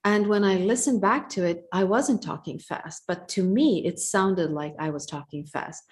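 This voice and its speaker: A middle-aged woman co-hosting a live-stream conversation over a video call, speaking slowly and calmly, almost meditatively. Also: on the low side. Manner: slow, calm, thoughtful, almost meditative. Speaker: co-host and coach.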